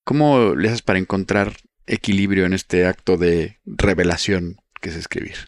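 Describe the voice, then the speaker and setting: A man's voice: low voice, steady tone, normal speed, no echo, studio quality. A man hosting a podcast interview, asking short questions and reading a quote back to his guest. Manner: brief affirmations, reads quotes to prompt the guest.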